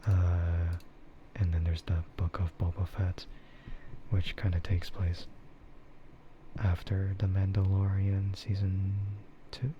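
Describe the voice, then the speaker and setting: Male ASMR streamer, soft whispery delivery, unhurried and rambling, muffled recording with clear background noise. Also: deep voice, completely flat delivery. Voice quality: breathy, soft, mostly whispered. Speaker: streamer, VTuber. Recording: dull, muffled audio with loud ambient noise.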